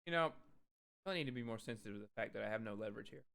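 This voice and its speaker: A man's voice: fairly low pitch, expressive, very fast, creaky, fairly bright tone. A man doing a casual voiced playthrough of a visual novel, reading and reacting in a sarcastic, slangy way. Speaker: gaming video creator.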